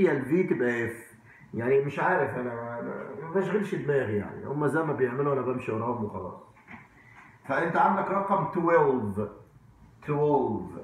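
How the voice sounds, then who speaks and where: A man in his forties with an Egyptian accent giving an informal language lesson, repeating words and asking check questions in a conversational way. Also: a little high. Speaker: English teacher. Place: indoor room at a whiteboard. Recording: very echoey room.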